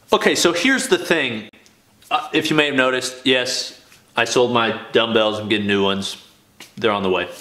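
A man's voice: fairly high pitch, fairly bright tone. A young man hosting a fitness video in his home garage gym, talking casually to the camera and adding "okay?" after his points. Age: young adult. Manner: emphatic, keeps adding "okay?". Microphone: camera mic, moving around the room. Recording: echoey garage room.

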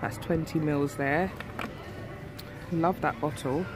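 A woman's voice: sits low, steady tone, takes it slowly, over music. A woman with a cold-stuffed nasal voice, chatting casually through a shop walkthrough on a handheld phone. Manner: chatty, filler-heavy. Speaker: beauty vlogger. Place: shop aisle, indoors. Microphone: handheld phone camera.

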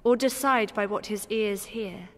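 A woman's voice: medium pitch, measured pace, a bit bright. A woman giving a formal reading of scripture. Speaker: scripture reader. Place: large church. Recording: reverberant church, long echo.

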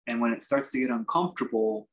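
A man leads a guided meditation as its teacher, in a voice of medium pitch. He gives his instruction off the cuff, in measured fragments. Reflective pauses break up his sentences as he goes.

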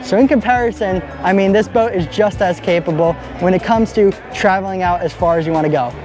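A young man filming a walkaround boat review at arm's length in a large indoor boat show hall, talking with steady enthusiasm. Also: high-pitched, a little fast, faint music behind. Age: young adult. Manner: enthusiastic, upbeat. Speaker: boat vlogger. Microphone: handheld camera at arm's length.

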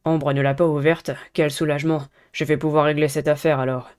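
Woman putting on a low-pitched, earnest teenage boy. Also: little pitch movement, a little fast, a little mellow, smooth, natural voice. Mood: relieved. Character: calm, serious teenage boy, protective student delegate. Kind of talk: visual novel character reading.

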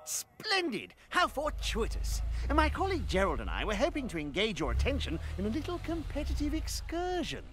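A pompous English motorcar in an animated game. The British voice swoops extremely up and down, flowery and jolly. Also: male voice, extremely high pitch, fairly quick, heavily acted. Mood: delighted, pompous. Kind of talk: animated video game acting.

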